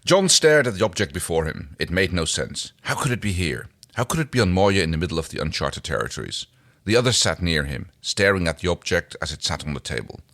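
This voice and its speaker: A man's voice: low voice, very animated, fairly quick, a bit bright. A man co-hosting a podcast, chatting casually and reading fan fiction aloud. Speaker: podcast co-host.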